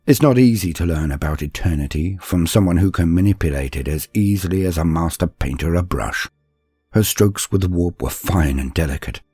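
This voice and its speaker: A man playing an earnest, reflective young psychic apprentice, telling his story in a scripted, dramatic and measured first-person narration. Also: sits low, a little mellow, husky, no echo, exaggerated voice. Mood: wistful.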